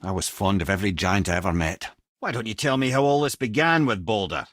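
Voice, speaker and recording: Wry old Scottish storyteller, wildly expressive. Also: male voice, medium pitch, no echo, studio quality. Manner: wry, theatrical, folksy, addresses listeners as brother and lad. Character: talking severed head of a wise, wry old storyteller. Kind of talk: expressive video game character acting, storytelling and banter.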